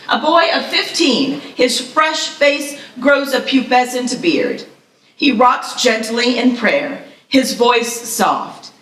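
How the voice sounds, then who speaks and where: A woman's voice: high-pitched, a bit bright. A woman announcing and reading scripted screenplay narration at a live table read. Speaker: announcer and stage-direction reader. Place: live festival table read, echoing room. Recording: echoey hall from far off.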